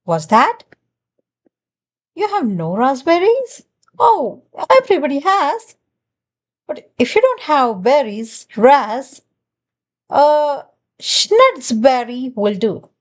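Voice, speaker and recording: A pushy male glunk creature from a storybook, wheedling in a sugary tone and rambling on, voiced by a woman with a high voice. His pitch leaps up and down, and he takes his time. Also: sing-song, slow pace, long pauses, exaggerated voice.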